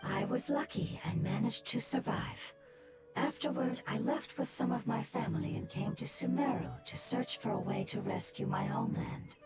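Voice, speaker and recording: A woman voicing an ancient fungus-like creature from a game, speaking in a breathy, solemn whisper. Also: mid-range voice, sing-song, moderate pace. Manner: solemn, storytelling. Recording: loud game music behind.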